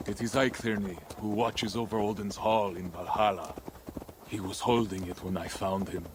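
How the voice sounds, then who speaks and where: A man's voice: fairly low pitch, steady tone, normal speed, background noise, lightly acted. Man playing a grim, vengeful Viking warlord. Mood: brooding, proud. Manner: solemn, formal, archaic phrasing. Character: vengeful male Viking warlord, proud, brooding, bloodthirsty. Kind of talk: dramatic video game acting.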